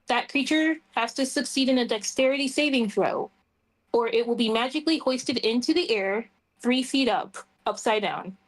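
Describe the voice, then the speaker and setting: A woman's voice: on the high side, moderate pace, low-quality recording. A woman playing a tabletop roleplaying game, casually explaining her moves and their rules.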